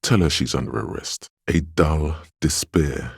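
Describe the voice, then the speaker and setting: A man voicing a steadfast inner voice of willpower in a video game, a stern yet encouraging mentor with a measured, solemn delivery and a gravelly, husky tone. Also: a bass voice, no room sound, clean studio sound, exaggerated voice. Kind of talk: scripted game narration, second-person counsel.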